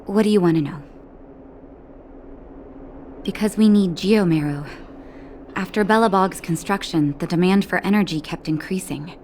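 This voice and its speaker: An actress voicing a calm, caring woman doctor in a video game, speaking in a gentle, reassuring way. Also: lowish voice, moderate pace, long pauses, slight background noise, close to an everyday voice. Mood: gentle, serious. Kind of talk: scripted game dialogue. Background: music.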